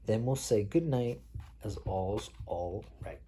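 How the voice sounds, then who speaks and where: A man reading picture books aloud as a father at bedtime, playfully pausing to chat with his child. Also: on the low side, very noisy. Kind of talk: read-aloud picture book storytelling mixed with casual chat.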